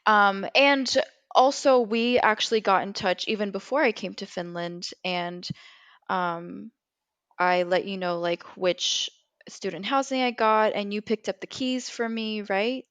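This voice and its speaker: An American woman hosts a podcast as a casual chat. Her voice sits at a middling pitch and is recorded dry, with very little room sound. She talks conversationally, as to someone she knows. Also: fairly even intonation, a little slow, fairly bright tone, clean tone, no room sound. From California, USA. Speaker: podcast co-host.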